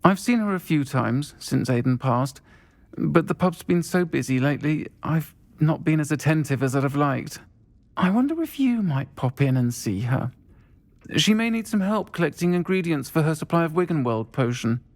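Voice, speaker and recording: A performer playing a kindly, composed woman who runs a pub in a video game, speaking in a measured, caring way. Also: sits low, lively intonation, a little mellow. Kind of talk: video game dialogue acting.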